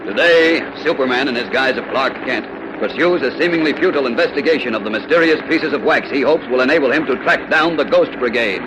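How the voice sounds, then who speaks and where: A man's voice: mid-range voice, quick pace, very noisy. A man narrating a 1940s radio serial as announcer, in a dramatic, declamatory style. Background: music.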